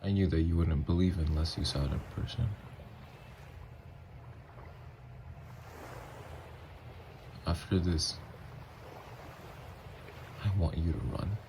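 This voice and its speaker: A man playing a tormented, lovestruck young vampire in an intimate ASMR roleplay, speaking in a slow, halting, hushed murmur. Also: deep voice, fairly even intonation, background noise. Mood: sorrowful, loving. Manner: slow, halting, hushed confession with long pauses. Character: tormented, lovestruck newly turned male vampire, confessing tenderly. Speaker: ASMR voice actor.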